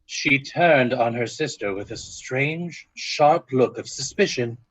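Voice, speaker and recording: An actor narrating an eerie period tale in the style of an old-time radio drama. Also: male voice, medium pitch, takes it slowly, fairly bright tone, slight background noise, rough recording. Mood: measured suspense.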